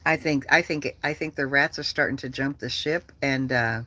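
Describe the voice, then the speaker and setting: A livestream host chatting casually and rambling, with an animated, profane manner and a habit of repeating phrases. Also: medium pitch, moderate pace, dry recording.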